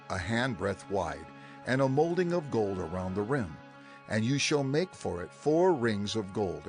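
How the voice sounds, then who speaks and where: An actor playing a solemn male scriptural figure giving firm instructions in a measured, declamatory dramatized reading. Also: medium pitch, natural voice.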